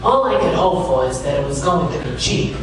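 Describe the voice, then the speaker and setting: A man playing a wisecracking, world-weary freelance gumshoe, narrating deadpan in live radio theater. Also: a little high, brisk, noisy background, close to an everyday voice. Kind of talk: hardboiled radio detective narration. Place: live stage in a pavilion. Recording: echoey hall from far off. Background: music.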